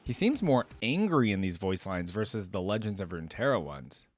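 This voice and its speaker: A man's voice: on the low side, very animated, moderate pace, faint music behind. A man giving casual reaction commentary, informal and musing as he thinks aloud. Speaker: reaction video host.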